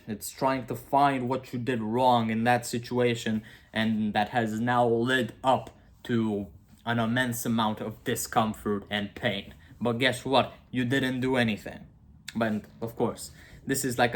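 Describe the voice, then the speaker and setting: A young man with a Romanian accent, talking casually to camera about philosophy, rambling and self-mocking with frequent asides. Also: medium pitch. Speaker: video creator.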